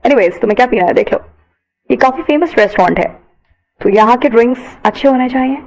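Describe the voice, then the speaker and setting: A woman's voice: fairly high pitch, lively intonation, quick pace, darkish tone. Woman voicing an easygoing, reassuring young man. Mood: casual. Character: easygoing, generous young man on a café date.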